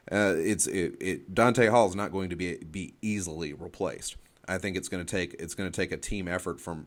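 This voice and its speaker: Male sports show co-host, low-pitched voice, halting phrasing with repeated words, unscripted analysis.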